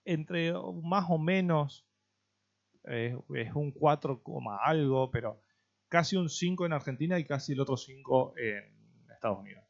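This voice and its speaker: A man chatting casually as a podcast co-host, thinking aloud in a halting way. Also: a little high, lively intonation, dull audio. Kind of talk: casual conversational chat.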